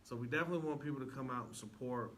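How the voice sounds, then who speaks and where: A man's voice: medium pitch, racing, fairly bright tone. A man talking casually and earnestly as an actor guest in an interview. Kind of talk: casual, earnest promotional talk. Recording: faintly noisy room recording.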